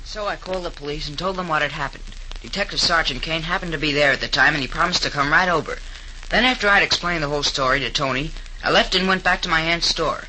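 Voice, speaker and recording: Eager, bright-voiced young fellow. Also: male voice, on the high side, quick pace, low-quality recording. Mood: earnest narrating. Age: young adult. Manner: eager, earnest. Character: earnest, eager young male store helper telling his story. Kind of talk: 1930s radio acting with dramatic narration. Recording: hissy 1930s radio recording.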